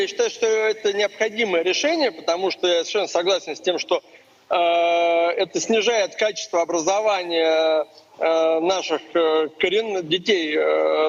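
A man's voice: sits high, lo-fi sound. A man, a guest commentator on a live remote link, arguing emphatically with rhetorical questions.

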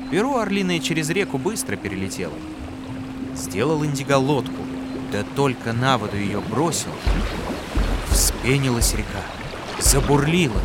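A man narrating a folk tale in a calm, rhythmic storytelling voice. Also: mid-range voice. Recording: clear music behind.

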